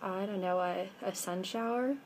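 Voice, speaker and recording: A woman's voice: mid-range voice, moderate pace. Midwestern girl in her 20s vlogging on her webcam, lots of ums, little bit of hiss behind. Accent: Midwestern American. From American Midwest. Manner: chatty, with frequent ums. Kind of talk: casual accent-tag vlog. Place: indoors by a window. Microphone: webcam at arm's length.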